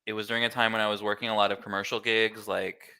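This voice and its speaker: Bright, clear American man, casual and easygoing. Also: on the low side, flat delivery, fast, low-quality recording. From Miami, United States. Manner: easygoing, informal, filler-heavy with "like" and "kinda". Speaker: interview guest, glitch artist. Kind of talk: casual explanatory chat.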